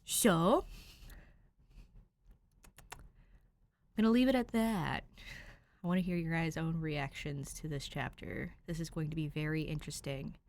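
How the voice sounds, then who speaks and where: A woman reading fan fiction aloud, expressive and chatty, excitable when she talks to her listeners. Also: low voice, measured pace, long pauses. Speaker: fan fiction audiobook reader. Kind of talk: reading fiction aloud, then casual chat.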